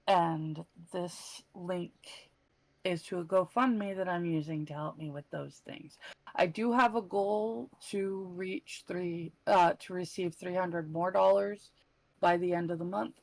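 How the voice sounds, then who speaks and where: A woman's voice: on the low side, measured pace, gravelly, a little mellow, no room sound, low-quality recording. A woman speaking casually and earnestly as a panel member.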